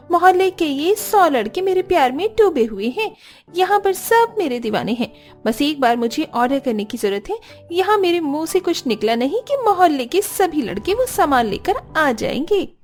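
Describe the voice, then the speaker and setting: A woman's voice: very high voice, sing-song, moderate pace, soft background music. A woman voicing a spirited young woman in a cartoon story. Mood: breezy, confident. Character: spirited young woman back from abroad, admired by neighbourhood boys. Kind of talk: Hindi cartoon voice acting.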